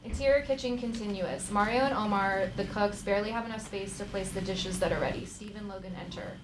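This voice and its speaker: A woman's voice: mid-range voice, a little fast. Woman reading stage directions, echoey room. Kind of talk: live scripted narration, comedy table read. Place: comedy theater stage. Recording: echoey, noisy live stage.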